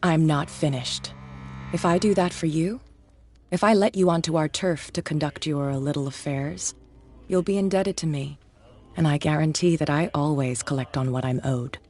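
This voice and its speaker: A man voicing a tough, shrewd male gang boss who talks in a calm, threatening, businesslike way. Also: a little high, normal speed, slight background noise, natural voice. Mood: bargaining. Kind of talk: video game voice acting.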